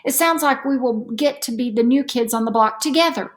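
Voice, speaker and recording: A woman voicing an eager, sweet third-grade girl in a storybook, earnest and excitable. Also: high-pitched, brisk, pitched above the performer's own voice, lightly acted. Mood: happy, tired.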